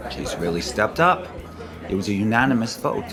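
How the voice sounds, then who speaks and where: A man's voice: fairly low pitch, very animated, moderate pace, a crowd in the background. A middle-aged man acting a breezy, probing businessman and father in an audio soap opera. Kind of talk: naturalistic soap opera dialogue. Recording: studio drama with music underneath. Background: music.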